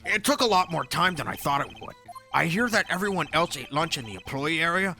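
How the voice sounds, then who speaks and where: A man voicing a rude, nervous, geeky middle-aged TV director in an amateur game dub, with halting speech. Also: on the high side, expressive, creaky, sharp tone, heavily acted. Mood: hesitant. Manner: halting, stammering, snide internet slang. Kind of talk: amateur video game fandub acting. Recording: music behind.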